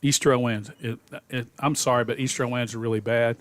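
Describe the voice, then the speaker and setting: A folksy man talks high school football on local radio, ambling through his points with plenty of "uh"s and restarts. His voice sits in a plain middle register. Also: steady tone, moderate pace, a bit bright. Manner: folksy, rambling, many fillers and restarts. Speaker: radio color commentator. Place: press box at a high school stadium.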